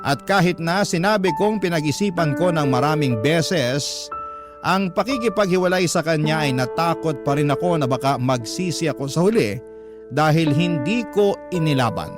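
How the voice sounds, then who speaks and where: A troubled, guilt-torn man played by an actor narrates in the first person for a radio love drama. His fairly high voice is earnest and confessional. Loud music plays under every word. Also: expressive, moderate pace, lightly acted.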